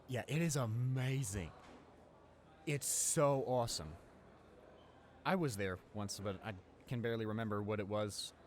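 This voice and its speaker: A man playing a friendly, awkward, talkative high school student in a casual audio roleplay, speaking in a hesitant, rambling, informal way. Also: a little slow, pauses often, a little hiss or hum, close to an everyday voice. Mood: chatty, enthusiastic.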